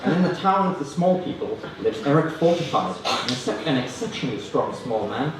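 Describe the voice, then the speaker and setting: A man performing stand-up comedy, hesitant and self-deprecating, reading parody prose in a deadpan way. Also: mid-range voice, fairly quick, distant mic. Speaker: stand-up comedian reading own mock novels. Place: student union comedy stand-up event, indoors. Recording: roomy, noisy live room recording from afar. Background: audience laughter, room noise.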